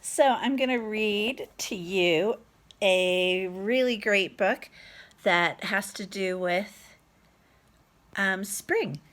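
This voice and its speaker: A woman's voice: medium pitch, extremely slow, fairly bright tone. A woman reading a storybook aloud to children, addressing her young listeners informally.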